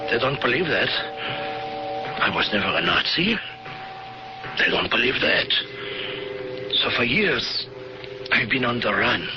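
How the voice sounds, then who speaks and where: An actor playing a self-righteous fugitive German doctor in a radio drama, speaking in a formal, aggrieved manner. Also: male voice, medium pitch. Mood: indignant, hunted. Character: indignant fugitive German doctor, evasive, self-righteous former camp physician. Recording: dull vintage radio recording, loud music behind.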